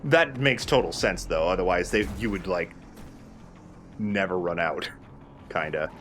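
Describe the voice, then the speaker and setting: Low-voiced man, casual gaming commentator. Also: measured pace, fairly bright tone, soft background music. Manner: chatty, explanatory, casual swearing. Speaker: let's play host and player.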